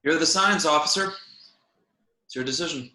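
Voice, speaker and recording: A man's voice: on the high side, normal speed, bright, forceful tone, reverberant. A man reading the part of a weary, brusque spaceship captain in an amateur script table read, terse and offhand. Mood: matter-of-fact.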